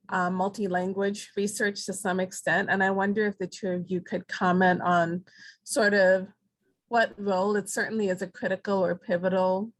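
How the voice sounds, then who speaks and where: A woman's voice: on the low side, no echo. Woman, faculty, asking a question, hesitant, apologetic, dry close sound, video call. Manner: hesitant, apologetic, searching for words. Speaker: panel organizer, faculty member. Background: fan noise.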